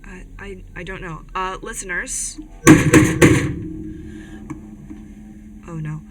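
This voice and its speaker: A woman's voice: fairly low pitch, steady tone, normal speed, distant mic, very noisy, lightly acted. A woman playing an earnest, protective young rebel radio host in an audio drama, speaking hesitantly and directly to listeners. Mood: panicked. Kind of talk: podcast audio drama, conversational radio monologue.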